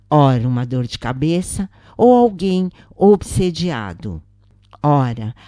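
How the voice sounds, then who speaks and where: A woman with a Brazilian accent reading a scripted story as a narrator, in a measured, deliberate way. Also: sits low, warm tone, dry recording, studio quality. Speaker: narrator of spiritist stories.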